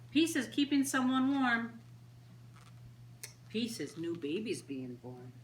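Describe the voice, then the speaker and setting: Woman reading picture books in an echoey room. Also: fairly low pitch. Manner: simple, child-directed talk with deliberate, repeated phrasing. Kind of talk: animated read-aloud story time for young children. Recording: roomy echo, faint background noise.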